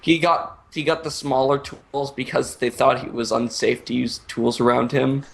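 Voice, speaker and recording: A man improvising a joke story in a halting, stammering way while he draws, swearing often. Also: medium pitch, level tone, gravelly, fairly bright tone. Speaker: storyteller, host.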